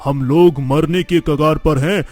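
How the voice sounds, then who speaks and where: A man's voice: a little high, fairly even intonation, a little slow, a little mellow, natural voice. A young man playing a determined, boastful eldest son from a village in a cartoon story, with an earnest, declamatory delivery. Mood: determined, reassuring. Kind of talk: dramatic Hindi cartoon story dialogue.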